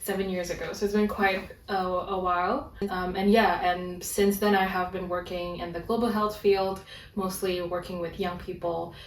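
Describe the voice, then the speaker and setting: A woman's voice: on the low side, flat delivery, normal speed, far from the mic, a little hiss or hum. Chatty young woman from Indonesia, roomy sound. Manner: chatty, laughs easily, many fillers. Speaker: guest, online sex educator. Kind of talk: casual conversational interview.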